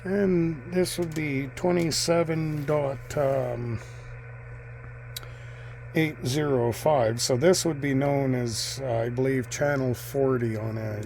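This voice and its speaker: A man giving casual, off-the-cuff commentary as a radio hobbyist, in a halting, musing way. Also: mid-range voice, steady tone, a little mellow. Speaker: radio hobbyist narrating a video. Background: shortwave radio noise.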